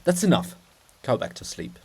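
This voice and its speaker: A man's voice: normal speed, lightly acted. A man voicing a long-suffering woman monument in a theatrical reading, sighing, scolding and dismissive. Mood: weary, scolding.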